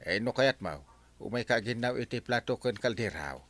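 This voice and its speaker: A man's voice: mid-range voice, animated, normal speed, a bit bright, close to an everyday voice. A Filipino man acting a fretful, insistent middle-aged village captain in an audio drama. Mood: brisk, fretful.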